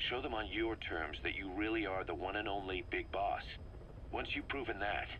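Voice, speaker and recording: Game role: male actor as fervent mercenary deputy. Low, hard-edged, persuasive delivery, slight background noise. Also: moderate pace, dull audio, close to an everyday voice. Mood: firm, cautioning. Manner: persuasive, emphatic, speechifying. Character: fervent, embittered male mercenary second-in-command briefing his boss. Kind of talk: video game dramatic dialogue. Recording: noisy cassette briefing with background rumble.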